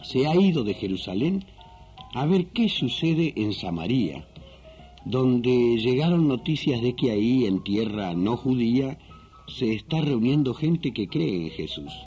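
Mellow male narrator with lively intonation. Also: mid-range voice, a little slow, soft background music. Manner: folksy, addressing listeners as friends. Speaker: host and narrator. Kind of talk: scripted radio-theatre narration.

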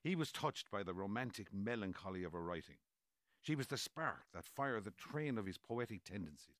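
A courteous, scholarly gentleman in a radio drama. His voice sits low and swoops with expression as he recounts things formally. The recording is very dry. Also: big pitch swings, moderate pace, no echo. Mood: measured, knowing. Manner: formal, storytelling delivery. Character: learned gentleman recounting a poet's life, courteous, measured.

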